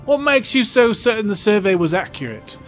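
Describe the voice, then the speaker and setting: A man's voice: high-pitched, big pitch swings, brisk, fairly bright tone, faint music behind. A man playing a wary, insistent spaceship crewman in a sci-fi audio drama.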